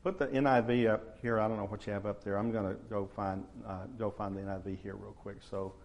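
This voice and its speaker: A man, preaching a sermon to his church in a relaxed, conversational way. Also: fairly low pitch. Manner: conversational, unhurried, frequent uh fillers. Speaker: pastor.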